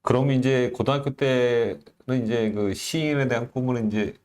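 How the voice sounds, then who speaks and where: A calm interviewer with a mid-range voice asks questions at an easy pace, often affirming the guest with short responses. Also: medium pitch, normal speed.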